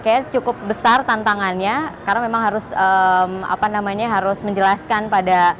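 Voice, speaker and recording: A woman of thirty, speaking thoughtfully in an interview and pausing to find her words. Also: mid-range voice, fairly even intonation, a bit bright. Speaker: interviewee, magazine editor. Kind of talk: personal testimony interview.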